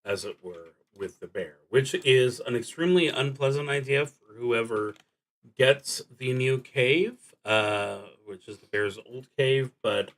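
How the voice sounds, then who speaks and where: A man with a mid-pitched voice talks through a solo roleplaying game. He speaks very slowly and deliberately, pausing often as he reads prompts aloud and thinks through his moves. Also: a bit bright. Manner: halting and deliberate, with long pauses. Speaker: solo tabletop roleplaying streamer.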